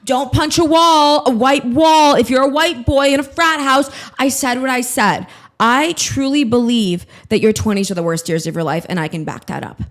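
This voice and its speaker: A woman's voice: sits high, moderate pace. A woman in her twenties hosting her own podcast, ranting in a scattered, self-mocking way and swearing freely. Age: 20s. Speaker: podcast host. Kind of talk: casual solo podcast monologue.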